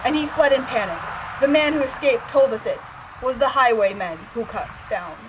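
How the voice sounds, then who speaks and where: A woman's voice: high-pitched, moderate pace, background noise, close to an everyday voice. A woman playing a king's brother who formally delivers a god's message in an amateur Greek tragedy. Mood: grave.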